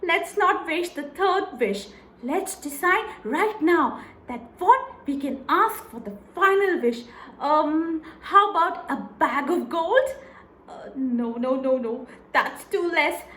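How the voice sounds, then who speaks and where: A woman voicing a woodcutter's excitable, scolding, greedy wife in a children's folktale, shrill and emphatic. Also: slow pace, heavily acted. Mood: eager, greedy. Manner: shrill, emphatic, exclaiming, repeating herself. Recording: echoey room with faint noise.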